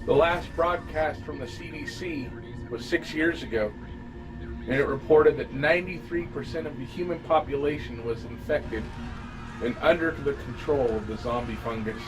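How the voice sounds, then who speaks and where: A man reading a scripted first-person horror story as an audio drama narrator, grave and dramatic with deliberate phrasing. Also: mid-range voice, rough recording. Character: male survivor recounting a zombie fungus apocalypse. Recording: amateur narration over music. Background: music.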